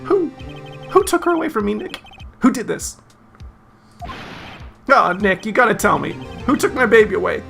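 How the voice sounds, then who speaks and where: A despairing young man from a courtroom video game, as a player acts him out, wails and pleads in a high, swooping voice. He is overwrought and melodramatic, dragging out his misery over music. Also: sing-song, gravelly, a bit bright, full character voice. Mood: wailing grief. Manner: wailing, overwrought, drawn-out pleading.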